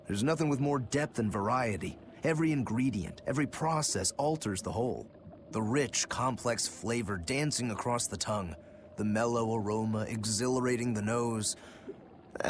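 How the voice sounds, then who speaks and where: A man's voice: on the low side, animated, moderate pace, background noise, close to an everyday voice. An actor playing an easygoing, teasing male demon swordsman who likes his drink, in a video game. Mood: wistful, amused. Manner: wry, teasing, laid-back. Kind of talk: English video game voice acting.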